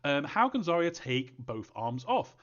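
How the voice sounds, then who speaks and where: A man's voice: on the high side, big pitch swings, a little slow, lo-fi sound. A man answering fan questions as a game creator in a casual live Q&A, rambling hesitantly and thinking aloud as he goes.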